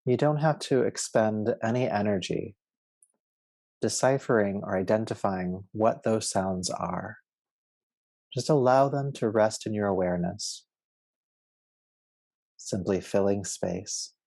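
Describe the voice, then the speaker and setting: Casting: male meditation teacher, lowish voice with creaky fry, soothing and measured, guiding relaxation. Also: a little mellow, dry recording. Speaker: yoga nidra meditation teacher.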